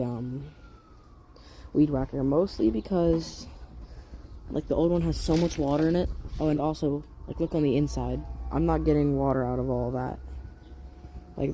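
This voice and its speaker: A man's voice: a little high, mellow. A young man chatting casually as he repairs a small engine, rambling and correcting himself in slang, recorded on a phone outdoors and then in a shed. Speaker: hobby mechanic vlogger. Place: outdoors on a deck in light rain, then a shed. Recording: noisy home phone recording.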